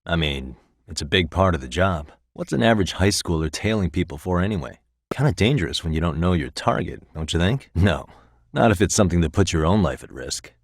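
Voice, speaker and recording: A man's voice: low-pitched, lively intonation, fairly quick, no echo. An actor in his thirties with an American accent playing an easygoing, wry private detective in casual video game dialogue. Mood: casual, teasing. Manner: casual, dry wry humour.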